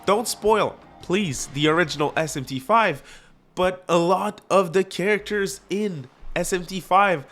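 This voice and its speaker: A young man with a French accent, talking about games with eager energy and a slightly high voice. He trips over hard names now and then. Faint music plays underneath. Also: measured pace, fairly bright tone. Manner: enthusiastic, stumbles over names. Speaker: gaming news host. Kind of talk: casual news commentary reading from a website.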